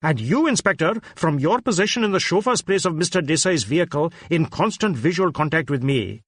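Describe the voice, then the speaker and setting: A man plays a pompous police superintendent in a crime drama. He barks clipped orders, pitched high and close to the mic with no room around him. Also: animated, fairly quick, slightly rough, dry recording. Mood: brisk, boastful. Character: barking, self-important male Indian police superintendent.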